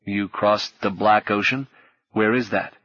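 A man's voice: on the low side, steady tone, a little slow, a bit bright, muffled recording. A man playing an anxious, protective young man. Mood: puzzled.

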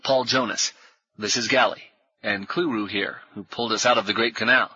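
A man doing a halting, bewildered man. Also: lowish voice, fairly even intonation, bright, forceful tone, slightly rough, full character voice. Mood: unsure. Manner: halting, stammering. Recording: dull, dry lo-fi audiobook recording.

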